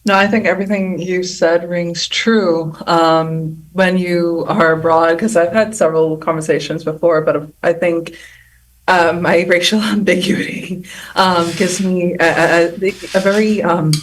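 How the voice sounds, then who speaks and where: Woman on a panel, lowish voice, chatty, sounds a little far from the mic. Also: unhurried. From Bahamas. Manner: chatty, animated, many fillers and restarts. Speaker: panel guest. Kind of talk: roundtable discussion.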